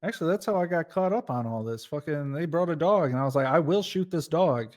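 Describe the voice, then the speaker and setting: A man acting as a cocky male defendant in a crime roleplay game. His voice sits a little high, and he brags in easy, casual slang. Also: animated, fast, a little mellow, natural voice. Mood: bragging. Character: cocky male defendant, unrepentant outlaw on trial. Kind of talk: improvised online roleplay.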